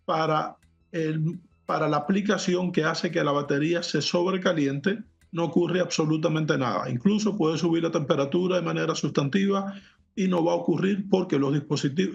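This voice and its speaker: A man speaking as a technology expert guest, explaining things in a measured, didactic and precise manner. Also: a little high, gravelly. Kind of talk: explanatory interview commentary. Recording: muffled lo-fi audio, music behind.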